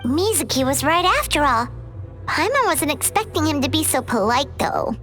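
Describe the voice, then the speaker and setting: Squeaky, excitable little fairy companion. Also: female voice, normal speed. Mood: indignant. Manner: refers to self in third person, expressive. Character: small childlike female fairy companion, excitable, plucky. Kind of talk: video game voice acting. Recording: music behind the voice.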